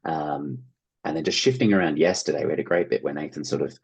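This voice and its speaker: Very deep, husky Australian man. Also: fast. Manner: enthusiastic, informal, acts out imagined reactions. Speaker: interview guest, actor and theatre producer. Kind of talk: casual interview conversation.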